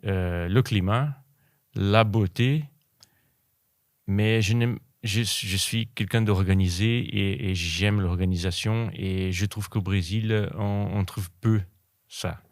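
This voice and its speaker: A man from Brazil, a podcast guest who speaks French haltingly and often repeats words while he searches for them. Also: on the low side, no echo, studio quality. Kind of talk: casual interview conversation.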